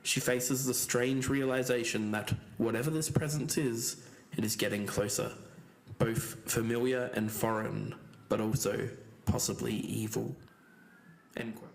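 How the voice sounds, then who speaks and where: A man's voice: medium pitch, steady tone, unhurried, gravelly, low-quality recording. A man playing a sober, methodical podcast investigator, explaining evidence carefully and with some hesitation. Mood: careful, serious. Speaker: podcast co-host. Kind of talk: scripted fiction-podcast narration.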